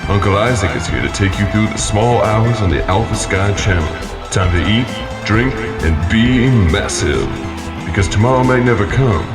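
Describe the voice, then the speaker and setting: A man plays a villainous radio DJ with a low voice that moves around a lot. He talks in smooth, hip slang with laid-back menace, audio drama style, with loud music underneath. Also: animated, normal speed. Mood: smooth showman.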